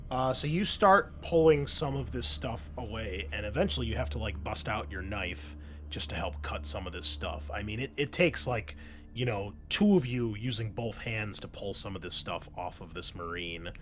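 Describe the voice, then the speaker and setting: A man's voice: fairly low pitch, lively intonation, normal speed, a bit bright, a little hiss or hum. A man running a tabletop game as game master, improvising narration in a casual, conversational way.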